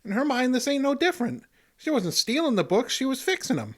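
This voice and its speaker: A man's voice: very high-pitched, sing-song, fairly quick. A man playing a meek, apologetic, folksy janitor in a comic radio drama. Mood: pleading. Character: meek, loyal male library janitor, guilt-ridden simple soul.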